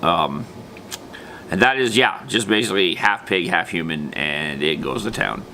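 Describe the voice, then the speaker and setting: A man's voice: deep voice, animated, a little slow, bright, forceful tone, poor audio. A man presenting a casual, unscripted gaming explainer in an informal, slangy way, trailing off into loose asides. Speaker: presenter of a tabletop gaming video series. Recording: faint background noise.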